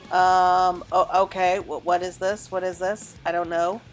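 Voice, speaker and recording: A woman's voice: on the low side, little pitch movement, unhurried, faint music behind. A woman giving casual let's play commentary as a game streamer, thinking aloud and reacting as she plays.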